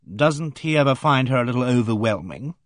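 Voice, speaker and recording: A man's voice: mid-range voice, animated, a little fast, no room sound, clean studio sound, natural voice. A man doing a blunt, frank young woman.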